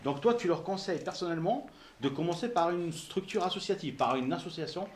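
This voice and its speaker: A man's voice: sits high, expressive, fairly quick, roomy sound, slight background noise. Immigrant man hosting a casual interview. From abroad, emigrated to France. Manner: informal, uses fillers like "on va dire", hesitant. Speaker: interviewer, podcast host.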